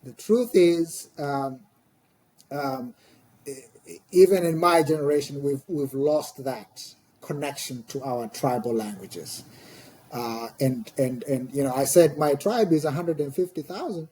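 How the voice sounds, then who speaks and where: A middle-aged man with a Tanzanian accent, speaking a second language in thoughtful interview talk, hesitant, with pauses and repeated words as he explains. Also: on the high side, mellow, a little hiss or hum, rough recording. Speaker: podcast guest, scholar.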